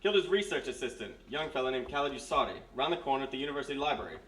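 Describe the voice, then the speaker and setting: A man's voice: mid-range voice, expressive, very fast, a bit bright, slight background noise, lightly acted. A man playing a stern, dry army major with clipped, formal speech in a live radio mystery. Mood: brisk, matter-of-fact. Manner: clipped, formal, matter-of-fact. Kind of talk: live old-time radio mystery acting. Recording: roomy live stage, distant mic.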